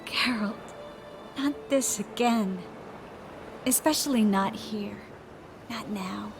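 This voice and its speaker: A woman's voice: mid-range voice, takes it slowly, vocal fry, over music. A woman playing a proud, tender-hearted but resolute sorceress in a video game cutscene. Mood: wistful, resigned.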